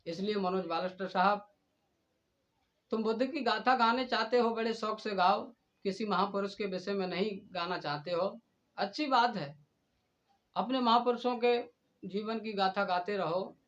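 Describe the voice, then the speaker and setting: A man's voice: high voice, normal speed, fairly bright tone, highs cut off. A man delivering an indignant, oratorical admonishment, scolding someone by direct address. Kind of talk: admonishing monologue addressed to a rival and the community.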